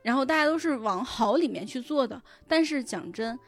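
Woman, higher-pitched voice, casual panel chat, explanatory, frequent affirmations, background music. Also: expressive, fairly quick. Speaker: podcast panelist discussing adaptations of novels.

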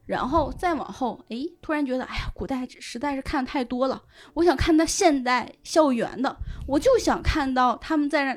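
High-pitched woman explaining in casual discussion. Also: moderate pace, hardly any pauses. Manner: explanatory, illustrates points with examples and imagined reader voices. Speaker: guest, web fiction editor.

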